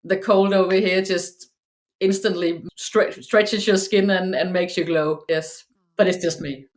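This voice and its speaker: A middle-aged woman from Denmark with a Danish accent, chatting as a podcast guest, speaking English haltingly with many restarts and repeated words. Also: on the low side, steady tone. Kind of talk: casual chat.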